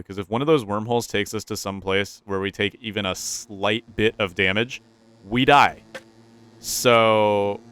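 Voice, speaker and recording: A man's voice: lowish voice, a bit bright, clean tone. A young man with a stuffy, nasal voice, casually commentating his gameplay, thinking aloud and repeating himself. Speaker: gaming YouTuber.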